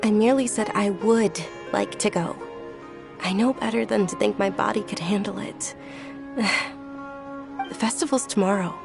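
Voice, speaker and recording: A woman voicing a gentle, frail young noblewoman in an anime video game, soft-spoken, kind and courteous. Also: mid-range voice, normal speed, vocal fry, close to an everyday voice. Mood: wistful, reassuring. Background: game music.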